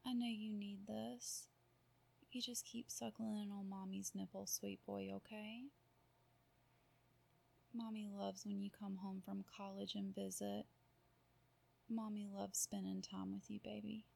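A woman speaking in a soft, cooing half-whisper with drawn-out murmurs, in an intimate audio roleplay. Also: mid-range voice, flat delivery, gravelly. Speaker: roleplay performer.

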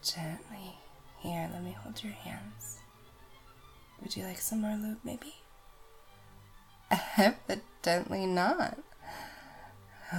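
A woman whispering softly and tenderly in an intimate audio roleplay, coaxing and gentle, with music behind her. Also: mid-range voice, creaky.

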